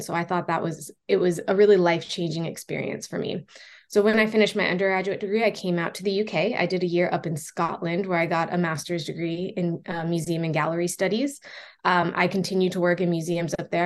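A young American woman from Los Angeles, a researcher answering interview questions in a thoughtful way with some fillers and restarts. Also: fairly low pitch. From Los Angeles, California. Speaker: guest researcher. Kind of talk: podcast interview.

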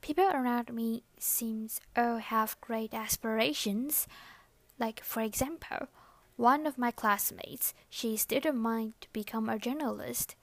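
A young woman whose first language is Chinese speaks in a soft, earnest voice on a personal podcast. Her voice sits a little high, and the sound is dry and close, with no room around it. She talks in a reflective monologue that sounds lightly scripted. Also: measured pace, dry recording. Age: young adult. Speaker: podcast host.

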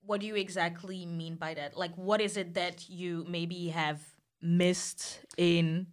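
A woman's voice: fairly low pitch, level tone, takes it slowly. A woman co-hosting a casual conversation, asking questions and chiming in with attentive agreement. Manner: attentive, affirming interjections. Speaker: podcast co-host asking questions.

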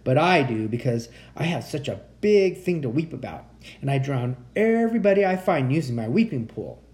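A man's voice: on the high side, big pitch swings, moderate pace, creaky, a little mellow. A man voicing a huge, self-pitying old rhinoceros in a children's storybook, with dramatic, emphatic delivery. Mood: angry.

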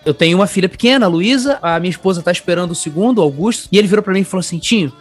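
A man's voice: high voice, lively intonation, rapid-fire, soft background music. A man with a Carioca accent hosting a casual podcast chat, speaking in an effusive, informal way. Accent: Carioca (Rio de Janeiro) Brazilian Portuguese. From Brazil, born in Bahia, raised in Rio de Janeiro. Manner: effusive, informal, addresses guest affectionately. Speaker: podcast host.

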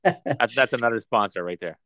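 Man, casual podcast co-host, animated pitch, jokey ad-announcer bits. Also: brisk. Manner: playful, slips into a mock ad-announcer voice. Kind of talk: casual chat.

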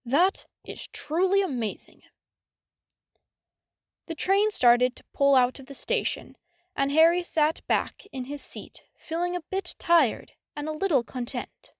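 High-voiced woman narrating a story. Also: animated, a little slow, no room sound, clean studio sound. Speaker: fan fiction audiobook narrator.

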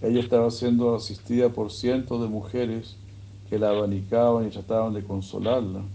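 A man giving a sermon, retelling a scripture story in a slow, measured way. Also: lowish voice, level tone, dark tone, poor audio. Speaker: religious speaker.

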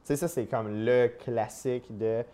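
A man with a Québécois accent interviewing casually, relaxed and chatty, with many short acknowledgements. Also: medium pitch, unhurried.

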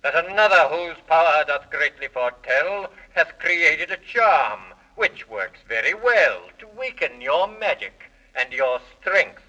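A solemn enchanted mirror, speaking very slowly in archaic rhyme. The voice is fairly high and forceful, on a muffled old-time radio fantasy recording. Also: male voice, expressive, heavily acted. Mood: solemn, foreboding. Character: solemn, truthful enchanted talking magic mirror. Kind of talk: 1930s children's radio serial acting.

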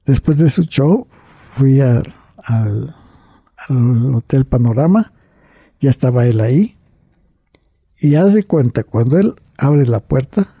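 Gravelly, very dark-voiced older man. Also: medium pitch, no room sound, clean studio sound. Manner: storytelling with tags like "right?" and "you see?", frequent restarts. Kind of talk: recounting personal memories in conversation.

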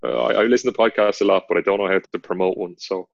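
Low, nasal man, muffled. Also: fast talker, gravelly, no echo. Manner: low-key, not very expressive. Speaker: podcast co-host. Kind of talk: casual chat. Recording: dull, lo-fi, dry sound.

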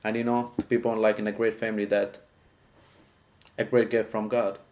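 A man's voice: on the low side, little pitch movement, normal speed, a little mellow, a little hiss or hum. A man, a podcast guest giving friendly, agreeable answers. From Syria. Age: 20s. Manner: friendly, agreeable, short replies. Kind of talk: casual interview chat.

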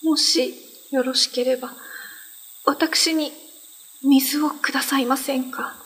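A woman's voice: high-pitched, fairly even intonation, slow, fairly bright tone, heavily acted. A woman playing a suffering but dignified imprisoned seer in a radio drama, formal, polite and earnest. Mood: weak, grateful.

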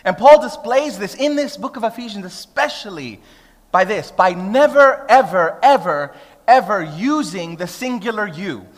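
A man's voice: high-pitched, lively intonation, moderate pace. A man, preaching a sermon in a conversational, humorous way that builds to emphatic declarations. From Brooklyn, New York. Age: 30s. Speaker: pastor. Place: church hall. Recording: long reverb of a church hall.

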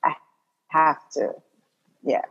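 A woman from Congo, a doula and podcast guest, talking in a calm, direct way and laughing often. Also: slow, dull audio. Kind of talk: casual podcast interview.

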